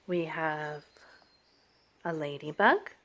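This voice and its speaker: A woman childcare educator telling stories and playing with toddlers in a sing-song, animated, child-directed way, using simple phrases. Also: low voice, slow. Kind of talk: sing-song picture book storytelling and play for toddlers.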